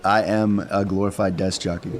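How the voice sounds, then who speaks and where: Male player, low-pitched, dry and self-deprecating, informal table talk, faint background noise. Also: flat delivery, normal speed. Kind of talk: casual chat during a role-playing game.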